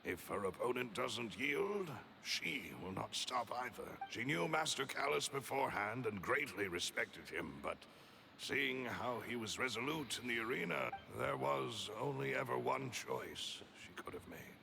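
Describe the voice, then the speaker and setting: A gravelly, loyal retainer. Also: male voice, mid-range voice, animated, noisy background. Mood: earnest. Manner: formal, deferential, measured. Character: loyal, formal, earnest manservant devoted to his lady. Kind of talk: anime video game voice acting.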